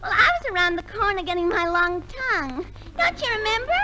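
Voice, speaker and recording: Very squeaky scatterbrained radio girl. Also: animated, a little fast. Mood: naive. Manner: naive, giggly, literal-minded. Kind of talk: 1950s radio comedy acting. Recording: noisy 1950s radio with audience. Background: loud studio audience.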